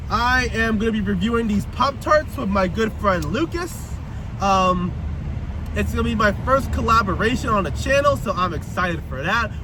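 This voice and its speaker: A hoarse young man talking to camera while driving, rambling and joking at his own expense. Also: high-pitched. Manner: rambling, joking, self-mocking. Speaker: YouTuber. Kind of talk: casual driving vlog monologue. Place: inside a car. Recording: distant mic with loud road noise.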